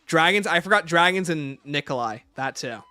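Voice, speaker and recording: Bright, higher-voiced man livestreaming. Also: lively intonation, normal speed, soft background music. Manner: informal, playful banter with viewers. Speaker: livestream host. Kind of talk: casual livestream chat, answering viewer questions.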